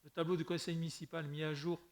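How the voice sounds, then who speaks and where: A man presiding over a municipal council, his voice sitting a little high. He reads the agenda items in a brisk, formal way. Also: little pitch movement, darkish tone. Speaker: mayor chairing a council meeting. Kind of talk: chairing a meeting and reading agenda items.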